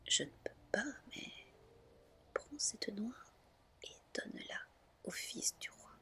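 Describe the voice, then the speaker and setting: A woman's voice: mid-range voice, sing-song, slow, sharp tone, exaggerated voice. A woman voicing a stern, reproachful dragon mother in a whispered storybook reading. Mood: firm. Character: stern dragon mother, reproachful of her ungrateful adopted daughter.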